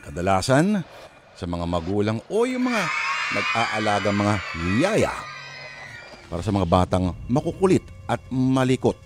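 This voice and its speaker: A man's voice: lowish voice, huge pitch swings, measured pace, over loud music. A man giving dramatic scripted narration to introduce a radio drama.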